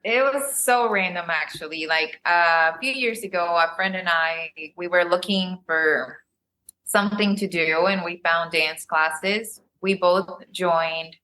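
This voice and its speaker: This is a woman guest on a chat podcast whose first language is Spanish. Her voice sits a bit low but comes across bright and forceful. She talks in a relaxed way, laughing easily and filling pauses with ums, over a faint background hum. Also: low-quality recording. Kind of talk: casual interview chat.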